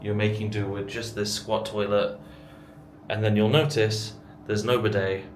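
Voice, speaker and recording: A man's voice: lowish voice, little pitch movement, normal speed. A young man narrating a casual travel vlog with wry, dry, self-deprecating asides, filming on a handheld camera. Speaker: travel vlogger. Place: outdoors on roadsides and streets, then an indoor hotel room. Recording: loud traffic and street noise.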